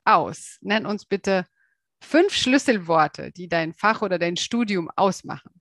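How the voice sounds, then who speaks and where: A woman's voice: fairly low pitch, lively intonation, a little slow, a bit bright, dry recording. Dry-sounding podcast host woman. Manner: friendly, prompting questions. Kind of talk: interviewing on a podcast.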